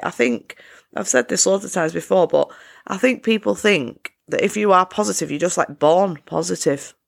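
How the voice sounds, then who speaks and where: A woman hosting her own podcast, chatting in a casual, upbeat self-help way with a croaky voice. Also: low voice. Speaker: podcast host. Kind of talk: casual solo podcast chat.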